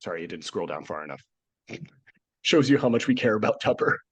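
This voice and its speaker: Guy hosting a history podcast while half sick, raspy breathy voice, jokey. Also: lowish voice, big pitch swings, a little fast. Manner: jokey, laughs often. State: nearly lost voice, sick. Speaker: podcast host. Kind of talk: casual history podcast chat. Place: home studio, remote.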